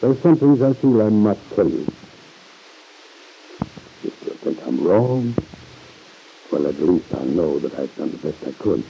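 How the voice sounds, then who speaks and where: An actor playing an earnest, middle-aged fatherly uncle in an old-time radio drama, gravely reproving in measured, formal phrases. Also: low voice, sing-song, mellow, a little hiss or hum, full character voice, low-quality recording. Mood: grave, concerned. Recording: vintage radio recording.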